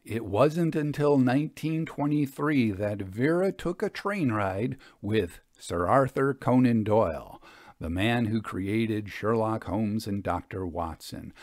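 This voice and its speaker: A man narrating from a script as a storyteller, with measured, articulate delivery. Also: lowish voice, darkish tone, dry recording, clean studio sound. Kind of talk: scripted audiobook narration and commentary.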